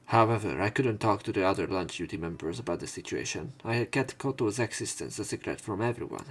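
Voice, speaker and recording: A man's voice: fairly low pitch, animated, fast. A man reading visual novel narration aloud as a let's player, with casual commentary. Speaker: let's play streamer.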